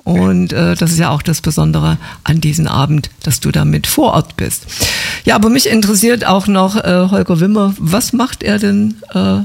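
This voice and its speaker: A man's voice: high voice, fairly even intonation, moderate pace, creaky, slightly rough. A man hosting an interview in a chatty, affable, conversational way. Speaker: radio-style interviewer.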